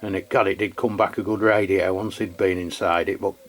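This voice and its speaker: A man chats casually in a low voice. He talks like a hobby CB operator in an easy two-way exchange. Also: steady tone, fairly quick. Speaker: radio review channel host, CB operator. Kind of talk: casual two-way radio chat.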